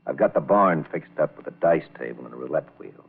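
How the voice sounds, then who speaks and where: A menacing nightclub boss from an old radio crime drama, extremely low and gravelly. He is blunt, bossy and jealous, on a tinny, narrow vintage recording. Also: lively intonation, brisk, full character voice. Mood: curt, businesslike. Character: gruff, jealous, threatening nightclub owner and husband.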